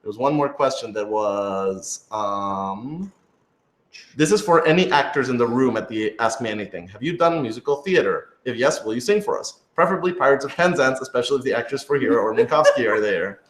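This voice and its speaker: A man in an informal live Q&A, talking conversationally and hedging as he goes. His mid-pitched voice sits in a roomy, echoey space. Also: lively intonation, brisk. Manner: conversational, hedging with lots of "like" and "sort of". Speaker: radio drama creator and director answering listener questions. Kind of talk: casual live Q&A chat. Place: indoor room with other people. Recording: roomy, echoey room sound.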